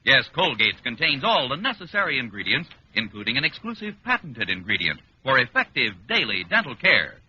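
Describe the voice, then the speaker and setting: An American man reading a scripted mid-century radio commercial as an authoritative pitchman. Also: sing-song, brisk, few pauses, pushed and bright, slightly rough, dull audio. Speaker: commercial announcer. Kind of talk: 1940s-50s scripted radio advertising. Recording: band-limited old radio recording.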